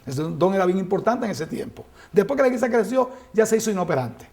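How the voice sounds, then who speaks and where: A man's voice: high voice, big pitch swings, quick pace, creaky, a little mellow. A middle-aged man teaching a Bible study, reading scripture aloud and then explaining it with rhetorical questions.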